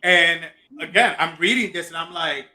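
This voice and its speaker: A man hosting a casual podcast, chatty and animated, who cuts in and then apologizes. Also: a little high, moderate pace, rough recording. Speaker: podcast host. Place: city, at home.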